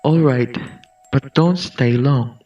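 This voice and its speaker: A sly werewolf playing grandmother in a dark storybook, read by a man, coaxing and commanding at a very unhurried pace. The recording is muffled and distant, with music underneath. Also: medium pitch, lightly acted. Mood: sly, predatory.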